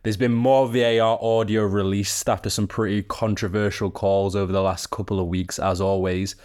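A man's voice: on the low side, little pitch movement, moderate pace, a little mellow. A man hosting a football podcast, presenting and discussing the sport with a co-host.

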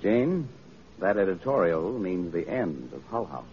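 An actor in an old-time radio drama playing a worried, protective gentleman friend, speaking in an urgent, formal, emphatic way. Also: low-pitched, sing-song, measured pace. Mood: alarmed. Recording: dull vintage radio recording, faint noise.

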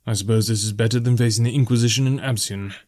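Man as a low, weary mercenary on the run. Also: fairly even intonation, fast talker, a little mellow, natural voice. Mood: bitter. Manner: muttering, brooding, dry. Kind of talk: fantasy audiobook character dialogue.

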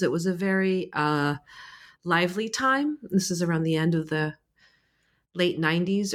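A woman in her forties, born in Egypt, answering interview questions as a guest author in a thoughtful way, with many fillers and restarts. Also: deep voice.

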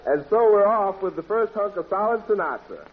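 A man's voice: high voice, expressive, normal speed, narrow, tinny audio. A man emceeing a 1940s radio show in hip jive patter, heard on a thin old recording. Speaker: master of ceremonies.

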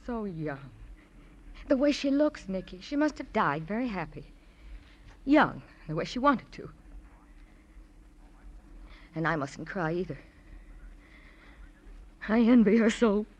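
Genteel society matron, swooping theatrical delivery. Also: female voice, mid-range voice, big pitch swings, lo-fi sound, slight background noise. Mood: pleading, mournful. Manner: theatrical, mannered, deliberate phrasing. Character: genteel, self-absorbed society matron, wistful and insistent. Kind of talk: old-time radio drama acting.

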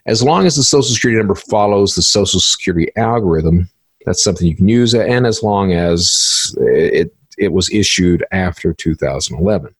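A man's voice: deep voice, fairly quick, slightly rough, no echo. A man hosting a podcast interview, explaining things methodically, step by step.

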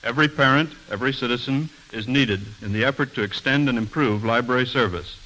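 A man's voice: mid-range voice, fairly quick, sharp tone, rough recording. An American man earnestly reading a formal, scripted 1940s radio appeal as a guest speaker, on a vintage broadcast. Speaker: guest speaker for a library association.

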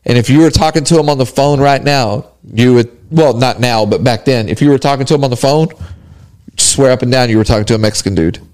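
Relaxed male co-host, easygoing storyteller, mid-range voice. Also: medium pitch, quick pace, smooth. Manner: laid-back, laughing anecdotes. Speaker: podcast co-host. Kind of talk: casual chat.

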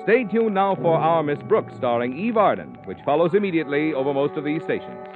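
A man's voice: fairly high pitch, big pitch swings, fairly quick, music in the background. A man working as a radio announcer, with polished, emphatic 1940s commercial delivery. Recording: tinny old radio recording.

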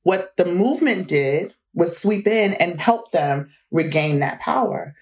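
A woman in her forties speaks in a fairly low voice as a guest in an interview. She talks in a candid, reflective way and laughs easily between earnest points. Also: expressive, unhurried. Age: 40s.

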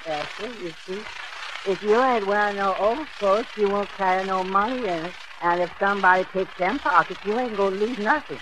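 An actor playing a slow-witted, deferential young porter with an artless, folksy manner in old radio comedy style. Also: male voice, sits high, natural voice. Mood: earnest, muddled. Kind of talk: 1940s radio comedy acting. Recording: noisy old radio broadcast.

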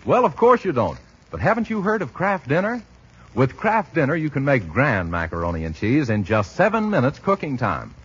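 A man's voice: mid-range voice, sing-song, normal speed, a bit bright. A man announcing in 1940s radio style, with polished, emphatic salesmanship. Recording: muffled 1940s radio recording, husky sound.

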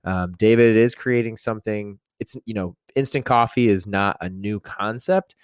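A man's voice: on the low side, normal speed, smooth, no room sound, studio quality. A man hosting his podcast in a loose, upbeat way, reading a sponsor spot and introducing a guest with casual fillers. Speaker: podcast host.

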